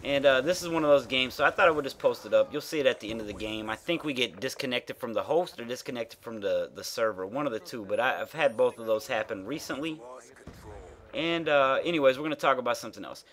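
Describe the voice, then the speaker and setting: A man's voice: mid-range voice, quick pace, a bit bright. A man with a nasal voice giving frank, rambling commentary over gameplay as a gaming YouTuber, full of fillers and the odd swear.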